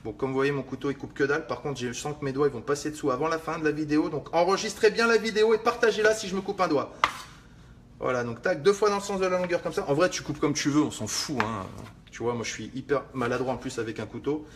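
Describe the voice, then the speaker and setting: A young man chats to his livestream viewers in a joking, rambling way, swearing casually as he shows how he cuts lemons. His voice sits a little high for a man. Faint clicking and noise sit behind him. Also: fast talker. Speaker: fitness livestream host. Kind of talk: casual live cooking demo.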